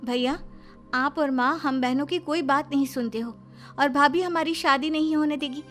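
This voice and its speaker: A woman's voice: high voice, normal speed, a bit bright, soft background music, voice pushed up. A woman voicing an indignant, outspoken young sister in a family story, protesting and argumentative. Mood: defiant, sarcastic.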